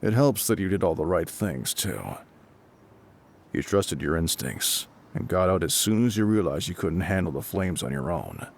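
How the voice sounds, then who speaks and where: A man playing a gruff, calm fire captain in an intimate audio roleplay, with a husky, gravelly voice and a measured, reassuring, matter-of-fact way of talking. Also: deep voice, full character voice. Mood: dry, reassuring. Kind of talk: intimate scripted audio roleplay spoken to the listener.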